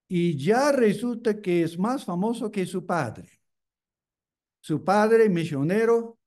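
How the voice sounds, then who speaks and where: A male preacher, a native English speaker, delivers a sermon with measured, unhurried phrasing. His voice sits a little high for a man but sounds mellow and soft-edged. He repeats key phrases as he builds each point.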